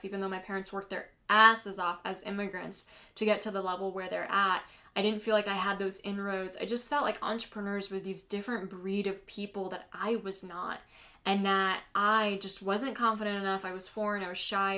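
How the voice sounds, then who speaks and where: A woman's voice: medium pitch, flat delivery, brisk, pushed and bright. A woman from the United States whose first language is Polish, hosting a casual solo podcast as a business coach, chatty and earnest with lots of "like" and "you know".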